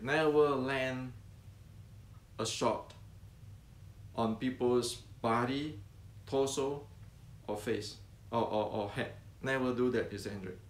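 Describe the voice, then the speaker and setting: An older man with an Indonesian accent speaks unscripted, earnest and insistent, circling back to repeat his points. His speech is broken by fillers, false starts and repeated words. The voice sits at an ordinary pitch in an echoey room. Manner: earnest, repetitive, halting with many fillers and restarts. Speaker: martial arts teacher and channel host. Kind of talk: unscripted talk to camera.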